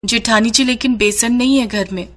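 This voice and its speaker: A woman's voice: fairly high pitch, moderate pace, fairly bright tone, voice pushed up. A woman voicing a meek, long-suffering young daughter-in-law, polite and plaintive, in a family story.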